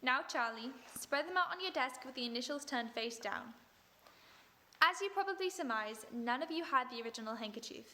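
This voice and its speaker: A woman playing a calm, authoritative female detective in a radio play, speaking in a measured way. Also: high-pitched. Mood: composed. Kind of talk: 1940s radio acting.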